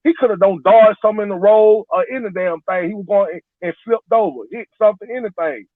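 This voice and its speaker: Male caller, 40s, defensive and rambling; high pitch, thin phone-line sound. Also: fast talker, no echo. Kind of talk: heated casual debate. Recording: tinny phone line, dry.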